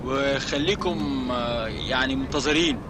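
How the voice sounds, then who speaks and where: A man playing a hurried lookout giving instructions in a video game. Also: medium pitch, close to an everyday voice. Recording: noisy outdoor ambience with vehicles.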